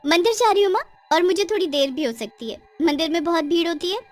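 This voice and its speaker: A woman voicing an eager but timid young village girl in a cartoon story, earnest and expressive. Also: very high voice, fast, faint music behind, pitched above the performer's own voice. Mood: eager, bright. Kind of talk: Hindi cartoon story acting.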